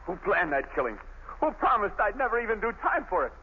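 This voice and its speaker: A man's voice: high voice, very animated, quick pace. An actor playing a young condemned male killer, bitter and desperate, in a 1930s radio drama. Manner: swings from hushed dread to shouting. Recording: tinny old radio broadcast, faint hiss.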